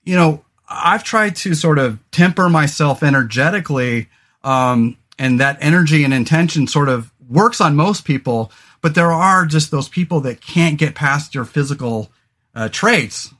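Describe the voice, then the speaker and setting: A man in his forties talks through a casual podcast chat, his voice sitting a little high for a man. He rambles, doubles back on himself and fills gaps with "um" and "you know," dropping the odd curse along the way. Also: animated, moderate pace, a bit bright. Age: 40s. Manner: rambling, many fillers and restarts, swears casually. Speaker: podcast host.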